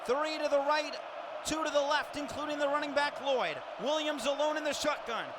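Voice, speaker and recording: A man's voice: very high-pitched, steady tone, normal speed, crowd noise. A man calling football play-by-play for radio in clipped, descriptive phrases, projecting his voice like a sports announcer. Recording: loud stadium ambience behind the voice. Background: stadium noise.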